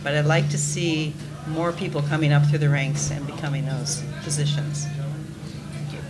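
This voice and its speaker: A woman recruiter with a soft voice introducing herself on a panel. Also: sits low, fairly even intonation, normal speed. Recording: loud room noise.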